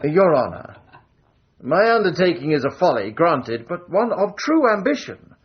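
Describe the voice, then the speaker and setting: Cocky gentleman adventurer, high voice with sweeping intonation, grandiloquent, period radio drama. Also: sing-song, moderate pace, slightly rough, lo-fi sound. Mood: grand, flattering. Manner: grandiloquent, teasing. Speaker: actor in a radio play.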